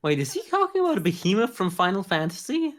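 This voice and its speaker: A woman's voice: medium pitch, sing-song, quick pace. A woman co-hosting a podcast, casually commenting on anime with a skeptical manner. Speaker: podcast co-host.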